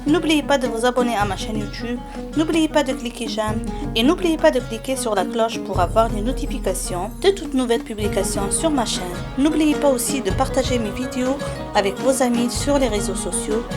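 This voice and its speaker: A woman from Algeria whose first language is Arabic. She speaks French with an Algerian accent, hosts a cooking channel and walks through a recipe step by step in a gentle, hesitant way with many filler sounds. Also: a little high, expressive, fairly quick, over loud music.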